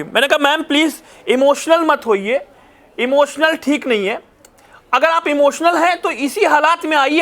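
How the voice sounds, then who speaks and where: Male news reporter, extremely high pitch, insistent and repetitive commentary delivery, some halting self-correction. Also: a bit bright. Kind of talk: news commentary to viewers, recounting calls.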